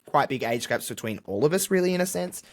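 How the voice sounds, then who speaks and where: A man's voice: medium pitch, animated, quick pace. A man with an Australian accent talking candidly and casually as a podcast guest, with a jokey, self-deprecating streak. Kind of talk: casual conversational interview.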